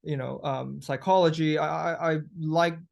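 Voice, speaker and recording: A man from Thailand, a musician guest, answering interview questions thoughtfully with frequent pauses and restarts. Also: on the high side, steady tone, gravelly. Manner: thoughtful, hesitant, many restarts.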